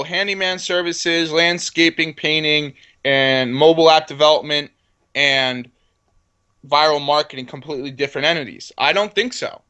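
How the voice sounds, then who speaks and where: Self-assured young man with a higher-pitched voice. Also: sharp tone. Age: 20s. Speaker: entrepreneur interview guest. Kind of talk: conversational business pitch in an interview.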